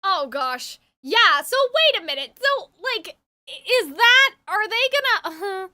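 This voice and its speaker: A woman's voice: extremely high pitch, big pitch swings, unhurried, pushed and bright, dry recording, faint music behind. A woman game streamer chatting casually with her viewers and thinking aloud as she reacts to a story.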